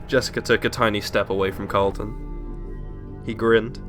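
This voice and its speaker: A man's voice: on the low side, fairly even intonation, a little slow, fairly bright tone. A man narrating a horror novel as a scripted, dramatic audiobook reading. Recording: music under the voice.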